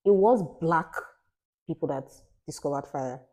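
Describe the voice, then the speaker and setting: A woman's voice: deep voice, expressive, a little slow, darkish tone. A young woman from Nigeria co-hosting a casual podcast chat, talking emphatically and rambling with restarts. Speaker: podcast co-host.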